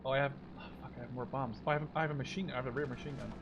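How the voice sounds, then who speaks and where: A man in his twenties talks through a war game in a casual, sweary way, thinking out loud. His voice sits at a middling pitch, with clear noise of gunfire and vehicles from the game behind him. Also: steady tone, racing, highs cut off. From Canada or the Netherlands. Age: 20s. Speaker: gaming video host. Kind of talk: casual let's play commentary. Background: war game artillery, gunfire and vehicles.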